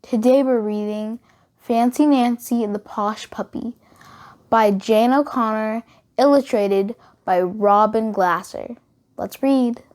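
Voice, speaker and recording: A woman's voice: fairly high pitch, takes it slowly, darkish tone. A woman hosting a storytime for children, giving a scripted introduction and sign-off. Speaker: storytime host.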